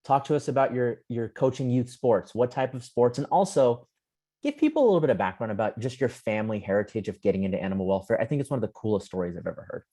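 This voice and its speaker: A man's voice: mid-range voice, animated, quick pace, warm tone. A man hosting an online panel in a casual, chummy way, putting questions to guests. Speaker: host of an online community panel call.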